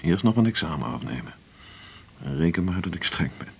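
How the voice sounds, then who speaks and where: A man's voice: low-pitched, sing-song, fairly quick, vocal fry, slightly rough, exaggerated voice. An actor playing a cynical, soured male doctor in a radio drama, with dry, blunt, clipped delivery. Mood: dry, businesslike. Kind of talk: 1980s Dutch radio drama acting.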